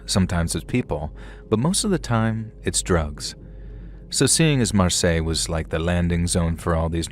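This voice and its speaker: Deep-voiced man telling a horror story. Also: a little hiss or hum. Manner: conversational storyteller, casual asides. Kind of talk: first-person horror story narration.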